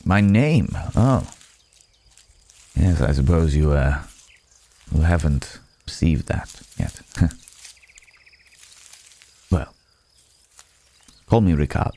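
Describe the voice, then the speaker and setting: A man's voice: a bass voice, animated, extremely slow, pauses often, warm tone, heavily acted. A man playing a courteous, guarded werewolf from the city in an intimate ASMR roleplay, speaking in hesitant, formal, polite phrases.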